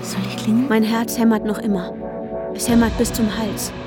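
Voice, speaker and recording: An actress playing an anxious, determined woman in a radio drama, narrating intimately and urgently, often dropping to a hush. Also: fairly high pitch, level tone, normal speed, loud music behind the voice, close to an everyday voice. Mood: hushed suspense. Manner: urgent, often dropping into a hushed near-whisper. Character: anxious, determined young woman searching for her missing partner.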